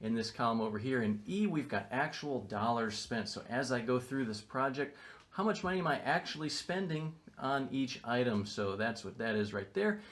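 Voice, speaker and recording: A man's voice: mid-range voice, expressive, normal speed, a bit bright. Upbeat man giving a renovation update. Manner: upbeat, explanatory, matter-of-fact. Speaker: real estate investor vlogger. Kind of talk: casual video walkthrough. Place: renovation site, indoors and outdoors. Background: construction noise.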